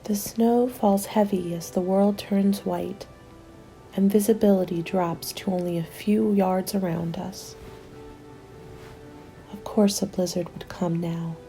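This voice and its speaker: A woman playing a weary but hopeful zombie-apocalypse survivor reading out a winter journal, in a soft, breathy, intimate ASMR roleplay style that often slips toward a whisper. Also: on the low side. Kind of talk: ASMR roleplay, scripted dramatic narration.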